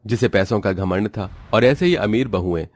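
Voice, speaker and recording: A man's voice: lowish voice, normal speed, dry recording, faint music behind. A man reading scripted narration as the storyteller of a cartoon moral tale.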